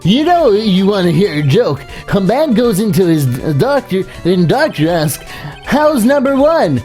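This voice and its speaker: A lively, mellow-voiced male clown witness from a courtroom game, played by a woman, rambles eagerly. The pitch bounces around freely, and music plays underneath. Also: unhurried. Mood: jokey. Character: chatty, eager male circus clown witness fond of bad jokes. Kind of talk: amateur voicing of a courtroom video game.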